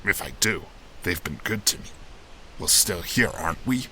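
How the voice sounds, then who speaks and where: A man's voice: deep voice, expressive, moderate pace, pushed and bright, a little hiss or hum, exaggerated voice. A man voicing an older animal uncle, an earnest, hopeful and weathered mentor, in a dramatic fandub. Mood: patient, sincere.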